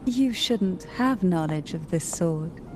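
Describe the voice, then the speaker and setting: Slow-spoken, poised noblewoman. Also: lowish voice, expressive. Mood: guarded. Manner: elegant, deliberate, courteous. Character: poised, guarded noblewoman, courteous and enigmatic. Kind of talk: scripted video game voice acting. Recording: music under the voice.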